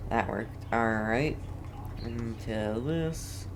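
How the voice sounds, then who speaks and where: Very low-voiced, unhurried woman gamer. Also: background noise. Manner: thinking aloud, repeating words. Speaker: gaming video host. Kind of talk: casual playthrough commentary.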